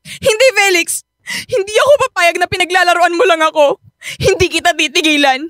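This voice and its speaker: A very high, bright voice playing a clingy young Filipino wife in a radio drama. She talks in whiny, cutesy baby talk, close and dry over faint music. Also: brisk, no echo. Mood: hurt, angry.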